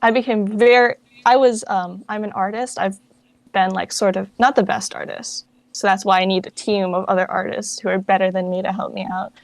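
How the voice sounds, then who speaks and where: A woman's voice: medium pitch, fairly even intonation, a little fast, vocal fry, dry recording. Young woman chatting casually, dry close sound. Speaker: interview guest, project founder and voice actress. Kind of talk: casual interview chat.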